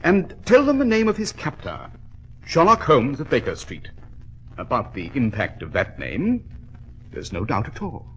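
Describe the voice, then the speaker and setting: English gentleman detective, medium pitch, animated intonation, formal, dry, self-assured, vintage radio drama. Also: normal speed, lo-fi sound. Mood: dry, satisfied. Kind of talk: 1940s radio drama acting. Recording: vintage 1940s radio broadcast.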